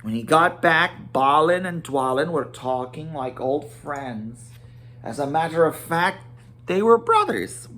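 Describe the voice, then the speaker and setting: A man giving an amateur dramatic storybook reading, expressive, with occasional stumbles over words. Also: fairly high pitch, slow pace. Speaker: amateur audiobook reader. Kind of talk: dramatic storybook narration with character voices.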